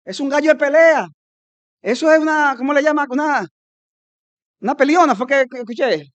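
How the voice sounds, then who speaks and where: Dominican pastor preaching, fairly high voice, swings loud on his points, dry close mic. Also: moderate pace, no room sound, dull audio. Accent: Dominican Spanish. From Dominican Republic. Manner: emphatic preaching, rising to loud peaks, mimics hearers' objections. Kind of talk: conference sermon.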